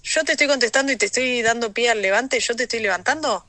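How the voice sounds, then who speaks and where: A woman's voice: a little high, fast talker, sharp tone, dry recording. A middle-aged Argentine woman chatting casually and playfully in a voice message. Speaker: listener sending a voice message.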